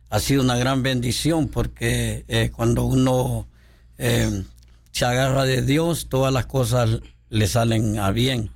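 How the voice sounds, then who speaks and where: A man from Honduras, a pastor speaking as a radio guest in a studio, devout and halting with many fillers. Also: mid-range voice.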